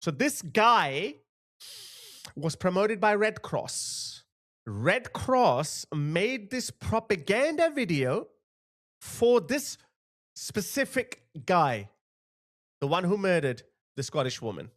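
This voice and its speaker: A man's voice: high voice, very animated, takes it slowly, fairly bright tone. A man from Pakistan hosting an opinionated commentary, talking indignantly with sarcastic asides and restarts. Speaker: commentary channel host.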